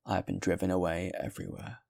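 Man playing a deep, bitter, weary vagrant. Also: little pitch movement, quick pace, creaky, a little mellow, heavily acted. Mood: weary, curt. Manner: harsh, curt, sullen. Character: weary, bitter male vagrant and former soldier.

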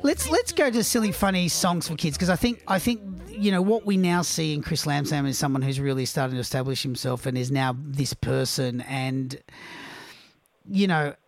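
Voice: mid-range voice, normal speed. An interviewer asking about an album, hesitant and restarting often. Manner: hesitant, frequent agreeing. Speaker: interviewer, host. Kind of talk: casual interview chat.

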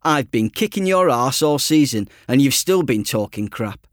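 A man's voice: medium pitch, expressive, moderate pace, no room sound, clean studio sound, lightly acted. A man voicing a teasing, blunt teenage boy, a best mate, in an audiobook, with a cheeky and sarcastic manner. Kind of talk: audiobook character dialogue.

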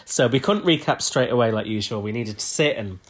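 A man's voice: medium pitch, lively intonation. Sweary, jokey podcast co-host, a man. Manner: sweary, jokey, quick banter. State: slightly bunged up from a cold. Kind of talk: casual podcast chat.

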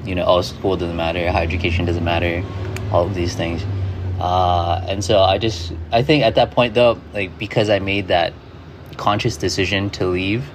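A man's voice: low-pitched, steady tone, normal speed, a little hiss or hum. A man, a guest in an interview, reflecting in a loose way full of "like" and "you know". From Hawaii.

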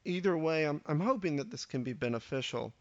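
A man's voice: a little high, animated, brisk, no room sound, highs cut off. A man in his thirties hosting a casual solo podcast intro, rambling and self-deprecating with lots of hesitation. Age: 30s. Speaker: podcast host.

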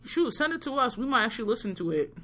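A woman co-hosting a casual podcast chat, loud and animated, swearing and teasing her co-host. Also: a little high, fast talker.

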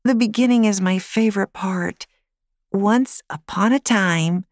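A woman voicing an eager, excitable six-year-old girl who pouts and pleads in an audiobook. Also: medium pitch, a little slow, no echo, clean studio sound, pitched above the performer's own voice, lightly acted. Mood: delighted. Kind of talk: audiobook character voice.

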